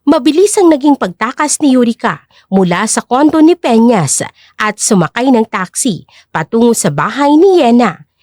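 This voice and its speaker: High, animated female story narrator. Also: normal speed, no room sound, clean studio sound. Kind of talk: dramatic scripted story narration.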